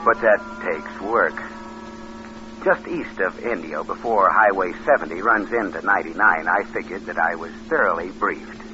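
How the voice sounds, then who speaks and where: A man's voice: sits low, moderate pace, noisy background, natural voice. Sly young drifter on muffled old radio male actor. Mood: sly narrating. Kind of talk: 1950s radio crime drama, first-person narration.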